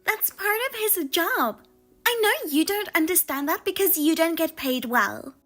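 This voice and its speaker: A woman voicing a pushy, scornful sister-in-law who is a working mother, talking down to her in a sharp, mocking way. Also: very high voice, lively intonation, a little slow, faint music behind, voice pushed up, heavily acted. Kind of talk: dramatized story reading.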